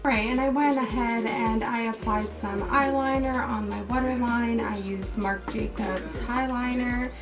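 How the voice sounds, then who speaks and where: A woman with a slightly raised pitch and a little hoarseness talks casually through a makeup look, step by step and full of fillers. She sits back from the microphone, and clear music plays behind her. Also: measured pace, hardly any pauses. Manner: relaxed, step-by-step, with many fillers. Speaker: beauty vlogger. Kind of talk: casual makeup tutorial and review. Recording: distant mic with music playing behind.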